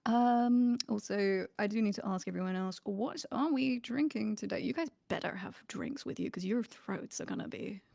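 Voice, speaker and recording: A woman's voice: medium pitch, normal speed, vocal fry, hardly any pauses, darkish tone, dry recording. A woman in casual, rambling chat as a guest on a stream, with a playful manner. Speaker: stream guest, ASMR creator.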